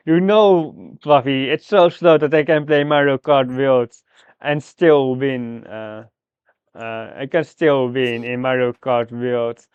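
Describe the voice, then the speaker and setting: Slow, halting man streamer, smooth voice. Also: medium pitch, no room sound, phone-quality sound. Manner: halting, thinking aloud in fragments. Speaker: game streamer. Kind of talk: live mahjong gameplay commentary, thinking aloud.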